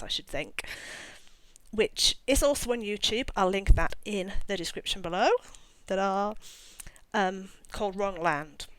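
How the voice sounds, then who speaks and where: A middle-aged woman with a fairly low voice, recorded close and dry. She talks casually, like a writer chatting to her followers, rambling a little and slipping in wry asides at her own expense. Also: a bit bright, no echo, rough recording. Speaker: author presenting her own work. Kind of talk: casual talk to camera.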